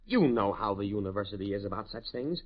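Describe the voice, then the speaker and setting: A man's voice: lowish voice, expressive, quick pace, a crowd in the background, natural voice. A man playing an anxious, principled academic in a 1950s radio drama, hesitant and stammering over his words. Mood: distressed. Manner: agitated, hesitant, stammering repeats. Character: anxious, principled male academic trapped by blackmail. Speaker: radio actor. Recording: dull vintage radio recording.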